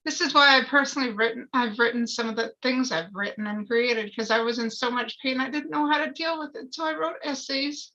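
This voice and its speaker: A woman's voice: a little high, a little fast, few pauses, bright, forceful tone. A woman in casual discussion, speaking candidly and emphatically about things in a personal way, over dull lo-fi audio.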